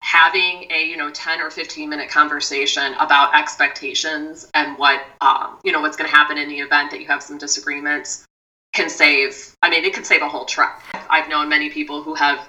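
Low-voiced woman giving calm advice. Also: distant mic, rough recording. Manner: measured, advising, with many "you know" asides. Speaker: mindfulness expert guest. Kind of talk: podcast conversation.

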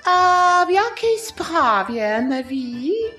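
A woman voicing a timid, sly, flattering male politician with a wheedling, hesitant manner in a satirical audio play. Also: extremely high pitch, very slow, faint music behind, pitched above the performer's own voice, exaggerated voice. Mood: evasive, flattering.

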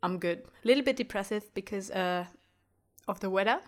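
A young woman co-hosting a podcast, slightly nasal from a cold. She talks wryly and pokes fun at herself, relaxed and conversational. Her voice stays in a typical middle range. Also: medium pitch, a little fast. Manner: wry, self-deprecating, easygoing. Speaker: podcast co-host. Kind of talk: casual chat.

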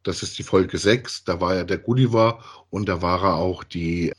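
Husky low-voiced man. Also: moderate pace. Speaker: podcast co-host. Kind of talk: casual chat about audio dramas.

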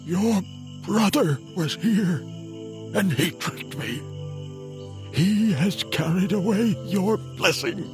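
An actor playing a frail, aged biblical father, solemn and halting, with a creaky voice, in an audio drama. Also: sits high, expressive, music in the background. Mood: shaken, distressed. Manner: slow, halting, solemn delivery. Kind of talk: dramatized audio Bible acting.

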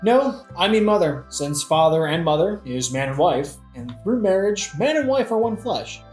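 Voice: medium pitch, big pitch swings, moderate pace, a little mellow, close to an everyday voice. An actor playing a flippant, sardonic, brooding young prince in a satirical modern-language audio play. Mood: mocking. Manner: flippant, mocking, theatrical. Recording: roomy echo, faint music behind.

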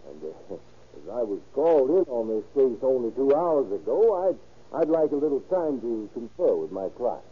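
A man's voice: mid-range voice, sing-song, moderate pace, husky. An actor playing a scheming, formal and insistent male defense attorney in an old-time radio crime drama. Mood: combative, assured. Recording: tinny, noisy old radio recording.

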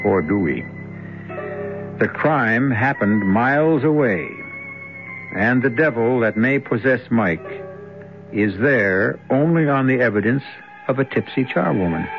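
A man hosting and narrating a radio drama in an ominous, deliberate scripted style. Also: medium pitch. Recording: muffled old radio broadcast. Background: music.

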